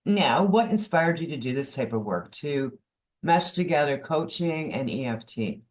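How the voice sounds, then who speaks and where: A woman's voice: sits low, expressive, normal speed, a little mellow. Podcast host: woman, prepared interviewer delivery, posing questions to a guest.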